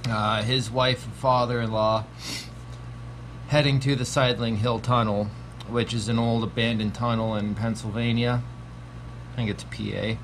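A man's voice: mid-range voice, flat delivery, moderate pace, a little hiss or hum, low-quality recording. A man in his thirties giving a casual, profanity-laced horror movie review from a small home room, with lots of ums. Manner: laid-back, swears constantly, frequent ums.

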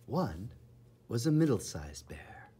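A man narrates a children's picture book in a husky, breathy voice. He reads slowly and theatrically, with lively swings in pitch and dramatic pauses. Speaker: storybook reader. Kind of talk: children's read-aloud narration.

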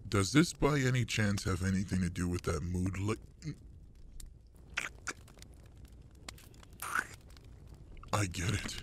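A man playing a gruff older male monster adventurer in an intimate audio roleplay, fond and teasing with a human partner. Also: low voice, a little fast, a little hiss or hum, natural voice. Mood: flustered, warm.

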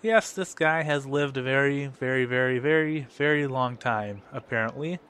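A man's voice: mid-range voice, normal speed, a little hiss or hum. A man with a cold, casually commenting as he plays a game, hesitant and full of ums. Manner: relaxed, hesitant, lots of ums. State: has a cold. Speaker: let's play host.

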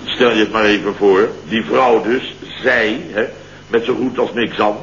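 An actor playing a flustered, indignant man who tells a farcical tale of woe in halting, repetitive bursts, in a radio comedy. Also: on the low side, fairly even intonation, a bit bright. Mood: aggrieved. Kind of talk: comic radio play dialogue. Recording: dull, noisy early-1970s radio recording.

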